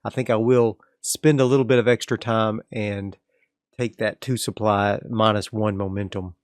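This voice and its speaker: A man's voice: on the low side, moderate pace, no echo, clean studio sound. A man playing a solo tabletop game, casually narrating and thinking aloud as he sets up his next area. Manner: relaxed, rambling, frequent restarts.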